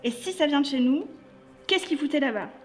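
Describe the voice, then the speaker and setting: A woman's voice: sits high, animated, brisk, a little mellow. A woman playing a stern, impatient intelligence chief in a French audio drama, brusque and authoritative. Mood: irritated, commanding. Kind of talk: French audio fiction dialogue.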